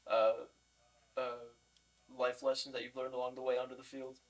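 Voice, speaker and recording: Male podcast co-host, off-mic. Level, unvaried pitch, casual and wordy interviewer. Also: flat delivery, moderate pace. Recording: distant from the mic.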